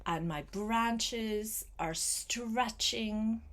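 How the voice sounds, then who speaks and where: An older woman reading a picture book aloud to a small child, gentle and coaxing, with chatty asides spoken straight to the child. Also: fairly high pitch. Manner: gentle, unhurried, coaxing, addresses the child directly.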